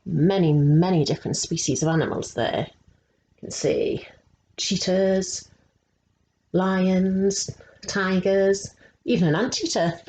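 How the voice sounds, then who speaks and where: A woman reading a picture book aloud to children, slow and expressive, stopping to ask them questions. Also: on the low side, a little hiss or hum, poor audio. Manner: slow and expressive, asking the young listeners questions.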